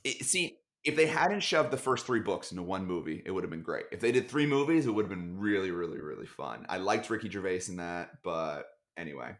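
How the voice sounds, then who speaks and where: A man as a podcast guest in casual chat, rambling with dark deadpan jokes over a video call. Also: deep voice, fairly quick, hardly any pauses.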